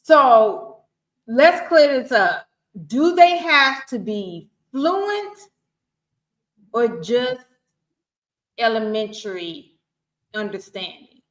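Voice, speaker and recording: Emphatic woman host with a Southern accent; slow, higher-pitched delivery in an echoey room. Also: sing-song, fairly bright tone. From Chicago. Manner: emphatic, outspoken. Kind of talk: podcast discussion.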